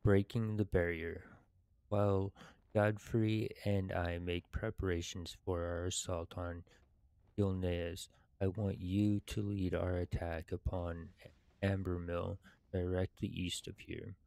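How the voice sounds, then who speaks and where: A man in his thirties who hosts gaming videos, reading game text and commenting in a measured, halting, casual way. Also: low-pitched, little pitch movement, vocal fry, warm tone, clean tone, no echo. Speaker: gaming video host reading quests aloud. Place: indoors at a computer.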